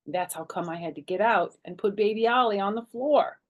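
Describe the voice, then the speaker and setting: A woman's voice: medium pitch, fairly quick. A woman reading a children's chapter book aloud as a storyteller, expressively acting out the characters. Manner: animated, acts out the characters.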